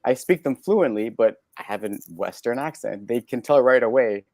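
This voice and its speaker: A man's voice: medium pitch, moderate pace, darkish tone, no room sound. Canadian man, close dry sound. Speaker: podcast guest. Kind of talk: candid personal storytelling in conversation.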